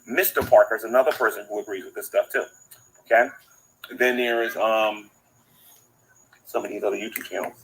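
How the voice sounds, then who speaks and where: A man's voice: lowish voice, steady tone, moderate pace, pauses often, a bit bright, a little hiss or hum, low-quality recording. A man in a played clip, accusing other channels in a preachy tone. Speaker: YouTube commentator in a played clip.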